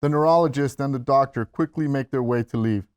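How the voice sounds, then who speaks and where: Male narrator, medium pitch, scripted stage-direction read for a screenplay. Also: brisk, a little mellow.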